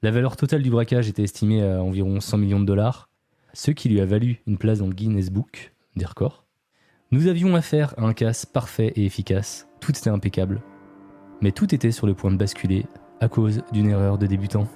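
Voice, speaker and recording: Male podcast host, low and very warm, relaxed chatty crime storyteller. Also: a little fast, no room sound. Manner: relaxed, chatty, frequent fillers and asides to a co-host. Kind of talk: casual true-crime storytelling.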